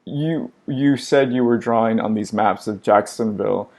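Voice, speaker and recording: A male interviewer with a mellow, dark tone, asking short curious questions and laughing easily with his guest. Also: on the low side, moderate pace. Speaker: interviewer, host. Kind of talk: conversational interview.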